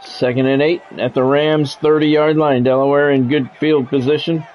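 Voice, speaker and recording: A man's voice: fairly high pitch, flat delivery, normal speed, muffled recording, some chatter behind, a little hiss or hum. A man doing radio-style play-by-play of a football video game on a livestream, with swearing and greetings to viewers.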